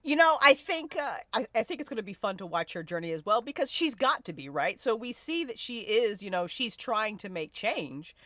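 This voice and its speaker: A woman leads a casual panel discussion in a bright, forward voice. Her pitch swings widely as she makes slangy, emphatic points. The sound is dry and close, with no room around it. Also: sing-song, moderate pace, hardly any pauses, no room sound. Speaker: host leading a fan discussion panel. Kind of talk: casual panel chat about a fiction podcast.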